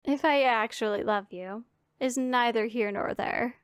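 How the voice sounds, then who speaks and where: A woman's voice: a little high, a little slow, smooth, no echo, clean studio sound, natural voice. A woman playing a powerful female demon who is commanding yet secretly caring, speaking with formal, imperious authority in an ASMR roleplay. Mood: stern, caring. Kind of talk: scripted ASMR roleplay addressed to the listener.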